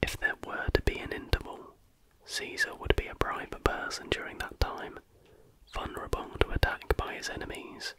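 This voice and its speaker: A person reading a factual text aloud in an unvoiced, breathy whisper, in a careful, measured ASMR style. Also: bright, forceful tone. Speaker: reader.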